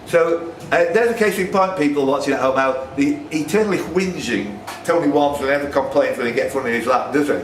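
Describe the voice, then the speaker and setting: A man's voice: on the high side, a little fast, few pauses, soft background music. A man hosting a live quiz, reading out questions and bantering in a teasing, jokey way. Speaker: quiz master. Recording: roomy, noisy recording from a distance.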